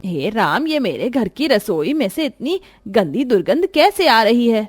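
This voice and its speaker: A woman's voice: fairly high pitch, very animated, measured pace, husky, voice pushed up, exaggerated voice. A woman voicing a stern older mother-in-law who barks orders when alarmed. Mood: disgusted. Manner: alarmed, commanding.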